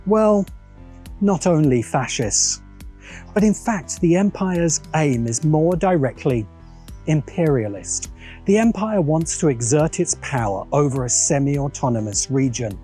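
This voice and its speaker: A man hosting a video essay, reading scripted narration in a measured, emphatic way with dramatic pauses. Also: fairly high pitch, mellow.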